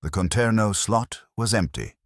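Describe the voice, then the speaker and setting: A man's voice: on the low side, animated, normal speed, no echo, clean studio sound. A man narrating a first-person detective story in a wry, dramatic storytelling manner. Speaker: audiobook narrator.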